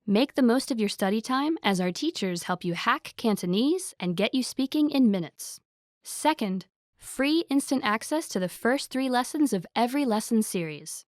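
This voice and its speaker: Woman promo announcer, upbeat sales read, higher voice, dead-dry studio sound. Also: no echo. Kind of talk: scripted promotional voice-over.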